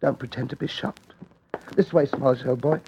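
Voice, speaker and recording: A man playing a brusque, coolly menacing middle-aged gentleman father in a suspense radio drama, clipped and insistent. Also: mid-range voice, moderate pace, vocal fry, dull audio, a little hiss or hum, close to an everyday voice. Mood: forced joviality. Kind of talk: old-time suspense radio drama acting.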